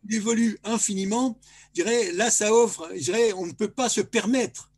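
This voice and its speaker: A man's voice: sits high, moderate pace, darkish tone, breathy edge. A man speaking at a colloquium panel, emphatic, repeating himself and tagging his points with "hein". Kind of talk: panel discussion remarks.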